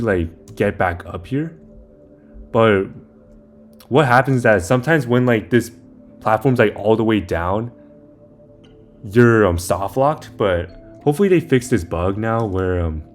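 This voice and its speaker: A young man with a somewhat low voice talks through a video game in a loose, informal way. He explains each step as he plays, wandering a bit as he goes. Faint music sits behind his commentary. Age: young adult. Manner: informal, rambling, talks through steps as playing. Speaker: video maker giving game guide. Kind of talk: casual game walkthrough commentary.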